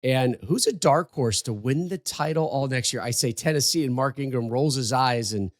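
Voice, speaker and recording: A man's voice: medium pitch, normal speed, no echo, clean studio sound. A man hosting a casual sports podcast, upbeat as he reads sponsor lines and plugs the show. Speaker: podcast host.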